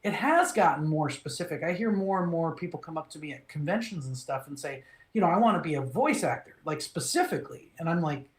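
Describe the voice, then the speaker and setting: A middle-aged male voice actor, originally from Minnesota, telling his career story in an animated, relaxed way, often restarting phrases and acting out quoted lines. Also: a little high, a little fast, hardly any pauses. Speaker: voice actor, interview guest. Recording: echoey room.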